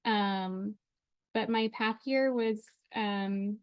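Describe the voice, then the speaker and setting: A woman's voice: medium pitch, extremely slow, smooth, no room sound, dull audio. A woman researcher giving a casual, friendly seminar talk with many hesitant fillers. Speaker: faculty researcher.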